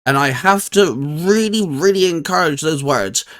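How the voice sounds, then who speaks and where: A man's voice: fairly high pitch, animated, a little slow, a bit bright. A man hosting a casual video discussion, repeating and stressing phrases for emphasis. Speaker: host. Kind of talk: casual video chat and intro.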